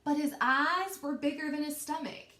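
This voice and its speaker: A woman's voice: high voice, moderate pace, pushed and bright. A woman reading a storybook aloud to children like a teacher, expressively stretching key words and asking questions. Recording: roomy, echoing indoor sound.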